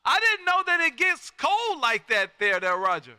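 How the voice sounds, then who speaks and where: A man's voice: extremely high pitch, sing-song, normal speed, noisy background. A folksy, exuberant man, chatting casually as a ham radio host who peppers his talk with "man". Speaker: amateur radio operator and live stream host.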